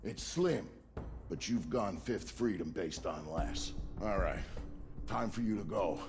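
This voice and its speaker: A savvy old comrade of a spy in a video game. His low voice moves a lot in pitch, with a wry, plain-spoken delivery and music underneath. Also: lively intonation, measured pace, a little mellow. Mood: brisk, businesslike. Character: savvy, wry middle-aged male ex-military buddy and fixer. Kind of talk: video game dialogue and narration.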